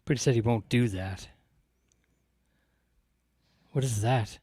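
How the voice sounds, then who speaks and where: A man co-hosts a casual podcast live stream, cracking jokes and reading out viewer comments. His voice sits at an ordinary middle pitch. The sound is close and dry, with no room echo. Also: slow pace, warm tone, breathy edge. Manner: joking, reads out viewer comments. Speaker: podcast co-host.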